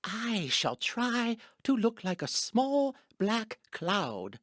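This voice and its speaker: A woman playing a gentle, naive, earnest storybook bear in a children's audio drama, musing in a slow-witted way. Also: a little high, very animated, dry recording, clean studio sound, heavily acted.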